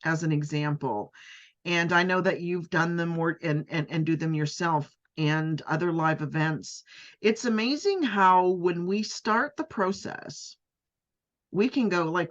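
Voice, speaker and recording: A middle-aged woman hosting a podcast in casual, animated chat, often repeating words and restarting her sentences. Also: fairly low pitch, muffled recording. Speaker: podcast host.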